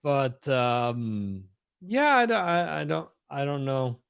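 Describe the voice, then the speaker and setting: A man co-hosting a casual chat, answering slowly and hesitantly. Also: medium pitch, sing-song, vocal fry, a bit bright, clear, resonant tone. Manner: slow, hesitant, measured.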